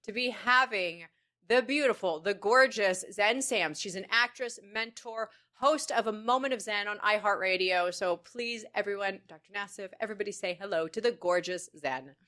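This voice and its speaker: A woman's voice: medium pitch, normal speed, sharp tone. A woman co-hosting a podcast in casual chat, giggly and effusive. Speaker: podcast co-host.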